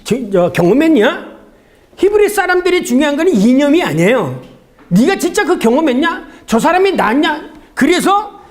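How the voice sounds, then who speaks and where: A man giving a theology seminar lecture in a conversational, didactic way, often asking rhetorical questions and answering them himself. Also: very high-pitched.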